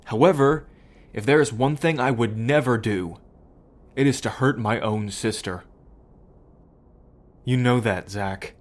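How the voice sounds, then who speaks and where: Estranged brother, male actor: slow delivery, average male pitch, pleading, apologetic, hesitant, audio roleplay. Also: natural voice. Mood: earnest. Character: apologetic, earnest man on the outs with the mob, pleading brother.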